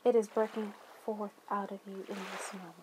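A woman leading a guided meditation, speaking gently in deliberate phrases with long pauses and soothing affirmations. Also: medium pitch, level tone, background noise.

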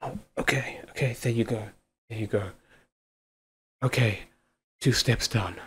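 A man playing a devoted, affectionate husband in an intimate audio roleplay, with a husky voice. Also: mid-range voice, a little slow. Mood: anxious, soothing. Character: devoted, affectionate husband, playful then anxiously caring. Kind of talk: intimate ASMR boyfriend-style roleplay acting.

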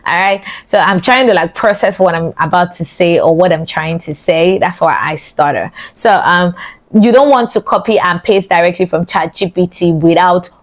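A woman's voice: lowish voice, normal speed. Chatty young woman who stutters often. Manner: chatty, repeats herself, stutters and restarts. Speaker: social media coach. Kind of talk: casual talking-head tutorial. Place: small indoor studio with colored lights. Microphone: large studio mic close in front.

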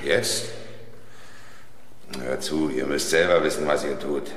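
Deep, firm crew boss. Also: male voice, steady tone, a bit bright. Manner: brisk, commanding. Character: firm, authoritative male construction crew boss. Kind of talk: German radio crime drama acting. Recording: roomy, echoing sound.

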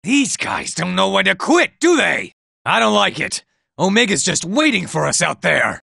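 A man voicing a nervous, boastful male robot soldier in a video game, shouting in panic and tossing out sarcastic asides. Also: high-pitched, sing-song, normal speed, creaky, dry recording, studio quality, heavily acted. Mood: wary.

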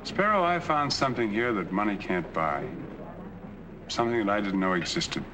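An actor playing a wealthy, lonely middle-aged man in a 1940s film, polite, gentle and well-spoken. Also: on the low side, big pitch swings, normal speed. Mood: earnest. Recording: hissy 1940s film soundtrack.

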